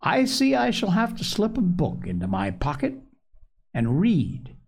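A man's voice: sits high, wildly swooping pitch, unhurried, creaky, darkish tone, husky, full character voice. A man voicing a kindly, encouraging parson who visits sick children in a storybook.

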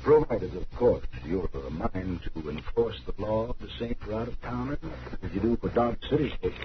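An actor playing a shrewd, folksy homesteader with a rural American accent in an old radio western, speaking slyly. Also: male voice, fairly low pitch, moderate pace, close to an everyday voice. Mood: sly, challenging. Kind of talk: old-time radio western drama acting. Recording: muffled old radio broadcast, faint noise.

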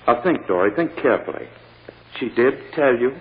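A male actor plays a forceful courtroom prosecutor in old-time radio style. His delivery swings widely in pitch and is insistent and theatrical. The sound is muffled and band-limited, with a long hall reverb. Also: animated, slight background noise, close to an everyday voice. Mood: pressing, skeptical. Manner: oratorical, insistent, dramatic pauses.

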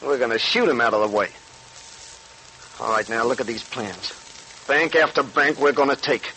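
A man's voice: medium pitch, normal speed, bright, forceful tone. A man playing a swaggering, boastful gangster bank robber in a 1940s radio crime drama, talking in emphatic tough-guy slang. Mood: scheming, boastful. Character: cocky, swaggering male gangster bank robber craving money and nightlife. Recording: noisy vintage 1940s radio recording.

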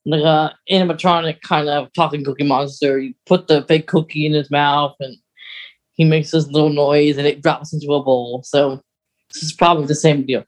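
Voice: mid-range voice, little pitch movement, moderate pace, low-quality recording. A podcast co-host chatting casually about fan topics, thinking aloud in a hesitant, rambling way.

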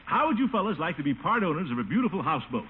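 A pompous middle-aged official from a 1950s radio comedy, with a highish, very animated delivery. He blusters and wheedles grandly through a dull, faintly hissy old broadcast. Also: racing. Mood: grandly persuasive. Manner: theatrical, swooping, grandiose delivery. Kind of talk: 1950s radio sitcom acting. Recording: muffled, faintly noisy old radio recording.

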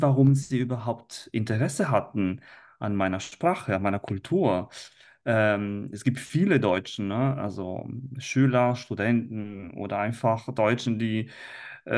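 A man's voice: medium pitch, darkish tone. A man from Italy, a native Italian speaker with an Italian accent, chatting as a podcast guest and often searching for his words. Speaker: language teacher, interview guest. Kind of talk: casual podcast conversation.

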